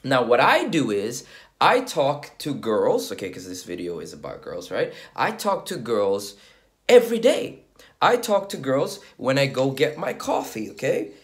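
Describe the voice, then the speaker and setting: A man's voice: mid-range voice, wildly swooping pitch, a little slow. A man in his early thirties, a dating coach talking casually to camera, often checking in with "okay?". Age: 30s. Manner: repeats "okay?" as a check-in, conversational and direct.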